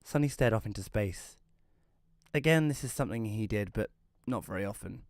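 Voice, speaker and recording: Male actor as downbeat thirties widower, audio drama narrator. Lowish pitch, wry self-mockery, very dry acoustic. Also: normal speed, no echo. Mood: wry, reflective. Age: 30s. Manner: dry, deadpan, self-deprecating. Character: glum thirty-year-old widowed man, self-deprecating agony-aunt columnist. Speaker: narrator and lead character. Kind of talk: first-person scripted narration in audio drama.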